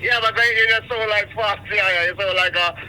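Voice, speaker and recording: A man's voice: high-pitched, fairly even intonation, fairly quick, a loud crowd, low-quality recording. A man hosting a radio interview, friendly and stammering, dropping in patois phrases. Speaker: radio host.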